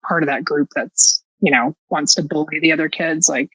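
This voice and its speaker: A woman's voice: low voice, fairly even intonation, normal speed, fairly bright tone, husky, dry recording, low-quality recording. A middle-aged American woman telling personal stories as a podcast guest, casual and candid, with a sarcastic, self-mocking streak and a habit of acting out dialogue.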